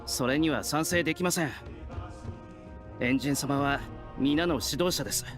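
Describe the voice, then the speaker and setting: A man's voice: on the high side, fast talker, natural voice. A man playing a serious, cool-headed young warrior in an anime-style video game, polite and terse, over music. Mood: serious, reserved.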